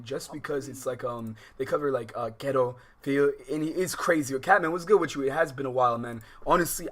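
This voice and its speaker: A man's voice: mid-range voice, fairly bright tone. An American man doing casual, slangy livestream commentary on a game, rambling and often interrupting himself. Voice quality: informal slangy speech. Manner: rambling, halting, self-interrupting. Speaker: livestream host. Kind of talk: casual gaming livestream commentary, reading visual novel aloud.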